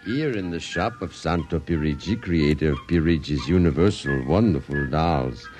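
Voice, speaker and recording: An actor playing a mysterious, theatrical older dollmaker in a 1950s radio drama, speaking grandly and cryptically with a husky voice. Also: male voice, very deep voice, music in the background. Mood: mysterious, courteous. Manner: grandiose, cryptic, slow showman's patter. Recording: vintage 1950s radio recording.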